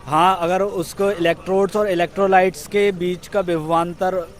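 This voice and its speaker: A man's voice: high voice, flat delivery, normal speed. A young man answering casually as an exam candidate, hesitant and searching for words. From Bulandshahr, Uttar Pradesh, India. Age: young adult. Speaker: exam candidate being interviewed.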